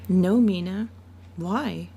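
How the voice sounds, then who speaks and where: A woman playing a loving, gentle mother in an amateur audiobook reading. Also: lowish voice, drawn-out words. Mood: puzzled. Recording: clear steady background noise.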